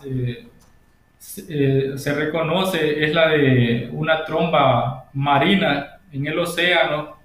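A man in his thirties with a Honduran accent, presenting informally and hesitantly with many pauses on a video call. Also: a little high, a bit bright. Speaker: guest presenter, agronomist. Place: indoor room at home. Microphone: video call device. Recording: very echoey room, video call.

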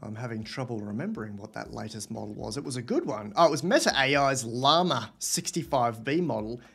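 A man's voice: mid-range voice, lively intonation, a little fast, gravelly, fairly bright tone, slightly rough, soft background music. A man in his thirties hosting a livestream Q&A from a room at home, talking casually into a webcam, thinking aloud and reading out viewer questions. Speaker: host answering viewer questions.

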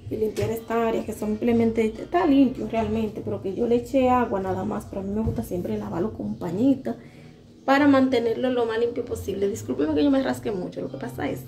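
A woman's voice: on the high side, a little fast, darkish tone. A woman in her thirties chatting candidly to a handheld phone camera while doing chores at home, her throat dry and sore. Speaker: home vlogger. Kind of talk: casual chat to camera. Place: small home, indoors. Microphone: handheld phone camera at arm's length. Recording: music in the background.

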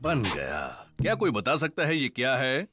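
An actor playing a cheerful, easygoing father pig in a cartoon dub, with a deep, chuckling voice. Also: huge pitch swings, unhurried, over music. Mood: upbeat.

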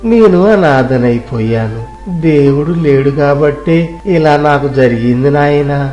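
A man's voice: medium pitch, unhurried, warm tone, highs cut off, natural voice. A man playing a forsaken, shivering old beggar who speaks plaintively in an audio moral story. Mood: bitter, grieving. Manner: plaintive, lamenting. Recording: echoey room sound, music behind.

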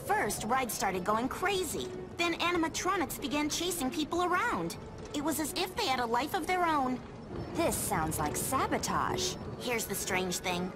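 A woman's voice: sits high, sing-song, a little slow. An actress playing a brainy, earnest young female sleuth in a cartoon video game, explaining things precisely. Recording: loud music behind.

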